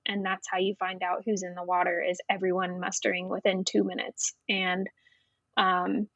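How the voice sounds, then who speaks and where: A woman's voice: mid-range voice, no room sound, highs cut off. A woman, a Navy veteran, chatting frankly and casually as a podcast guest, with many fillers. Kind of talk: casual interview chat.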